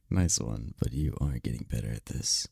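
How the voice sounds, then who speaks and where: Casting: male actor as an intimate demon boyfriend for ASMR roleplay, very gravelly and slow, teasing yet tender. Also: mellow, no echo, clean studio sound, heavily acted. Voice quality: low, gravelly, husky, breathy. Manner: slow, intimate, often dropping into whispers. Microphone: close-up microphone, dry sound.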